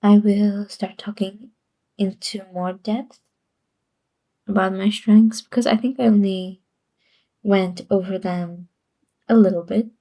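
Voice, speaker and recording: A woman's voice: mid-range voice, steady tone, slow, long pauses, warm tone. A woman streamer chatting casually on a livestream, rambling and giggling often between sentences.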